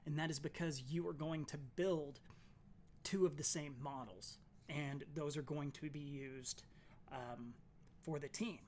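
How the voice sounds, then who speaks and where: A man, his voice slightly raspy and soft from allergies, talking through a casual hobby tutorial with plenty of ums and uhs. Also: on the high side, steady tone, unhurried, a little hiss or hum. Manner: chatty, many ums and uhs, apologetic. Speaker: miniatures hobby YouTuber.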